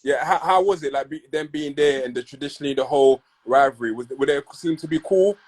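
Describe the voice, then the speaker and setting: Halting man hosting a call. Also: on the high side, darkish tone. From Congo. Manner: halting, repeats words while searching for phrasing. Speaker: podcast host. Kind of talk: casual interview. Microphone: video call.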